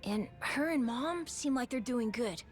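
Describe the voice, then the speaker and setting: A sweet, earnest youngster with a high voice. The delivery is sincere. Faint music plays behind. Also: female voice, lively intonation, unhurried. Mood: earnest, reassuring. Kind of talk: video game cutscene acting.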